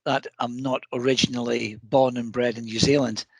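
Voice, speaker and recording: A man's voice: mid-range voice, level tone, moderate pace, vocal fry, breathy edge, dry recording. A middle-aged Scottish man, a council general manager, giving an earnest scripted address to camera. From Scotland.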